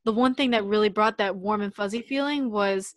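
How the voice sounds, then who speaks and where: A woman from the United States co-hosts a relaxed podcast conversation. She talks in a reflective, meandering way, full of little fillers. Her voice sits at a mid pitch and sounds dry and close. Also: fairly quick, no echo. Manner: reflective, loose, many "like" fillers. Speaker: podcast co-host. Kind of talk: casual podcast chat.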